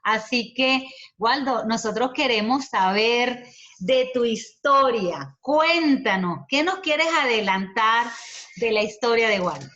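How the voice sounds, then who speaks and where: A woman hosting a video call, welcoming and introducing a guest in a measured presenter's way. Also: a little high, expressive, fairly bright tone. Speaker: host. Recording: muffled video call audio.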